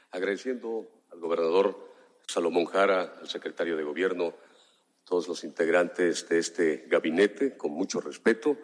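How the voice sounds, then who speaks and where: A man in his fifties from southern Mexico, a municipal commissioner giving a formal speech at a press conference. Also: sits low. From Juchitán, Oaxaca, Mexico. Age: 50s. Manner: slow, measured, formal address with long pauses. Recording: hall reverb, relayed press conference.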